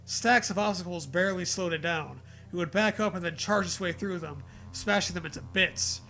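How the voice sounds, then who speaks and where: A man's voice: sits high, normal speed, faint music behind, rough recording. A man hosting a dramatic reading of a story, mixed with crude, joking commentary. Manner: profane, jokey, animated reactions.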